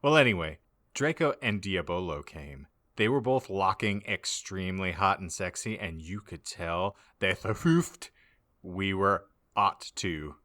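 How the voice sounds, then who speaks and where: A man with a fairly low, forceful voice narrates a fan-fiction story for comedy. He takes it very slowly and reads every misspelled word exactly as written. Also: lively intonation. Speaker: podcast host reading as narrator.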